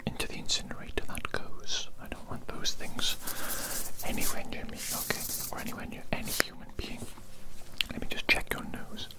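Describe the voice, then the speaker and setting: A man whispering in a breathy, unvoiced voice, playing an eccentric, fussy doctor in an ASMR roleplay, with repetitive phrasing and a close microphone. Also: moderate pace, rough recording. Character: eccentric, fussy evil doctor pampering a captive patient. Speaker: ASMR performer. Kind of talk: whispered ASMR roleplay of a personal grooming session.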